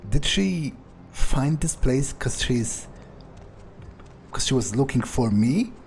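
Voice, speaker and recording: Man playing a mellow, musing, blasé vampire. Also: medium pitch, expressive, vocal fry, a little hiss or hum. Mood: puzzled. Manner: musing, sardonic, halting. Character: blasé, scheming male vampire thinking aloud. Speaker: gamer role-playing a character. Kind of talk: in-character improvised game playthrough monologue.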